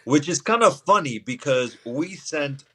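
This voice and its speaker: A man's voice: on the high side, lively intonation, measured pace, pushed and bright. A man from Albania, a guest chatting casually on a podcast, easygoing and laughing now and then. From Albania, Lushnjë. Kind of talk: casual chat.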